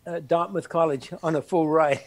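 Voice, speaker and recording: An older man, a former soccer player recalling his college years in a halting, reflective interview. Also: on the high side, fairly even intonation, no room sound. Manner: halting, many restarts and "you know".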